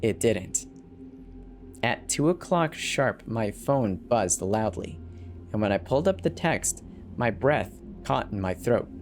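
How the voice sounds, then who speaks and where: A narrator giving an expressive, dramatic first-person reading of a horror story. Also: mid-range voice, slow, slight background noise. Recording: background music behind the narration. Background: music.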